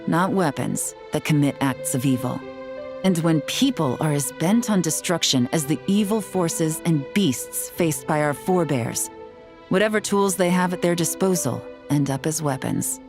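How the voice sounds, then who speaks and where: An actress playing a calm, worldly, self-assured woman in a video game, composed and measured as she explains. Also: deep voice, lightly acted.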